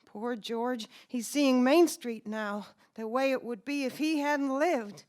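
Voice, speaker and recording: A woman playing a gentle, naive elderly guardian angel in a radio play, polite, fussy and hesitant. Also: high voice, slow. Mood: concerned, obedient. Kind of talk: old-time radio drama acting.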